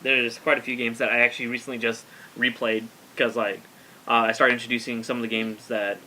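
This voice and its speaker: Man, panel guest, casual talk, bright sharp tone, faint room noise. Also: mid-range voice, flat delivery, brisk, rough recording. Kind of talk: casual chat.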